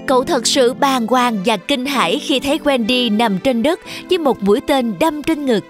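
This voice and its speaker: Female children's fairy tale narrator, fairly high pitch, soft music underneath. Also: normal speed. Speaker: storyteller.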